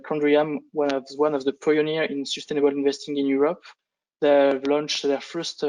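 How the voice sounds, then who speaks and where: A man with a slightly high voice and very flat intonation presents like a fund analyst on a conference call. He is a non-native English speaker, and his delivery is halting, often restarting phrases. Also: rough recording. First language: not English. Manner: halting, hesitant delivery with repeated false starts. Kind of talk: semi-scripted business presentation on a conference call.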